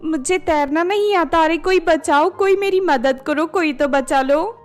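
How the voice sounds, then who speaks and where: A woman's voice: very high-pitched, a little slow, faint music behind. A woman voicing a spiteful, dramatic young stepdaughter in a cartoon moral story. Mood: panicked, desperate. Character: spiteful, selfish young stepdaughter, hot-tempered, later panicked.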